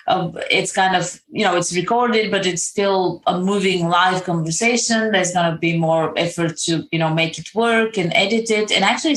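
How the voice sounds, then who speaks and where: A woman hosting a podcast, lively and quick to laugh as she chats with her guest. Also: medium pitch, roomy sound. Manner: lively, laughs, acts out her own reactions. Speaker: podcast host. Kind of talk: scripted intro then casual interview talk.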